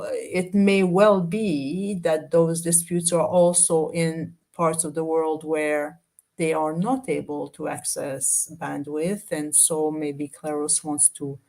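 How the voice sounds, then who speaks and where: A woman speaking as a panelist in an informal discussion, putting her points forward tentatively and deliberately. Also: deep voice, darkish tone.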